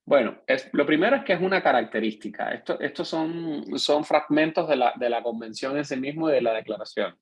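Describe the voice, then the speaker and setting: A man's voice: a little high, brisk, hardly any pauses, husky. A man with a Cuban accent giving an online lecture as a course instructor, explaining at length and often checking in with his listeners.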